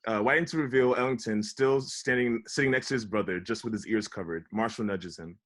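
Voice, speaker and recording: A man's voice: fairly low pitch, a little fast, fairly bright tone. A man narrating the script at a casual table read and chatting with the group. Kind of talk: reading screen directions, then casual chat.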